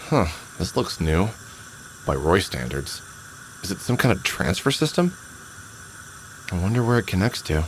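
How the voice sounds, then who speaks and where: A man's voice: low voice, sing-song, moderate pace, long pauses, slight background noise, low-quality recording. An actor playing a wry, world-weary middle-aged man in a video game, muttering sardonically as he thinks aloud. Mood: curious. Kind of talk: scripted game character monologue, thinking aloud. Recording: music under the voice. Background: music.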